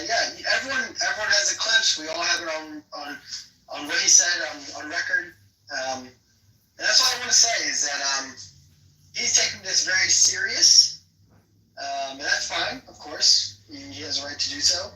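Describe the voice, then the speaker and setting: A man with a high, nasal, squeaky voice talks in halting bursts, full of "um"s and repeated words. His tone is bright and piercing. He sounds far off in an echoey room. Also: animated. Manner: halting, with many fillers and repeats. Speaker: participant in a live audio chat room. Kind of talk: mocking argument.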